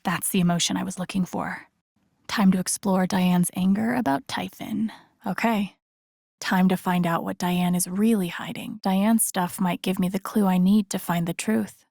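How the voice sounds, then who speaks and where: A woman's voice: medium pitch, gravelly, a little mellow, dry recording, studio quality. A woman playing an earnest, wry, vulnerable young video game heroine, in an introspective, understated inner-monologue style. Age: young adult.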